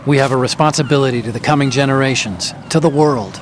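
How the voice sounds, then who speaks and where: An actor playing a calm, articulate male idealist who explains things earnestly, in a video game. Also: mid-range voice, fast, slight background noise, close to an everyday voice. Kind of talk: dramatic video game acting.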